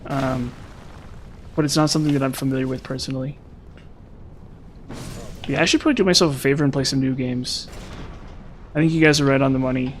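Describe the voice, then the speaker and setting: A young man streaming a game, chatting casually with viewers in a rambling way, his voice slightly hoarse from long talking. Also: medium pitch, fairly even intonation, a little fast, pauses often, a little hiss or hum. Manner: rambling, lots of "like" and "um". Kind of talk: casual live-stream chat while gaming.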